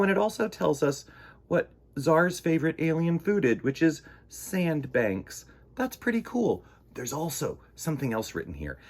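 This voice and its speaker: Animated husky-voiced man reading to children. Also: medium pitch. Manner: theatrical, exclamatory, swings from hushed to loud. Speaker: children's storytime host. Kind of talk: animated picture-book read-aloud for children.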